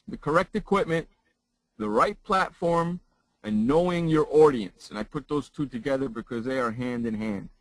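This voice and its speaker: A man who trains broadcasters, giving a lesson in a loud, emphatic way and repeating phrases for effect. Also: on the high side, no echo, rough recording. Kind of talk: casual chat turning into a spoken lesson.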